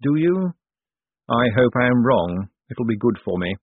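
A man voicing a coolly commanding lord in an audiobook, with dry, measured, formal speech. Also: mid-range voice, sing-song, dry recording. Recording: thin, phone-like band-limited sound.

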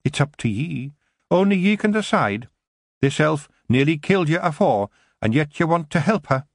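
A man doing a gruff Scottish fairy matriarch. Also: fairly high pitch, expressive, normal speed, no echo, clean studio sound, voice pushed up. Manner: blunt, gruff, dialect-heavy. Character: tiny fierce female fairy clan matriarch.

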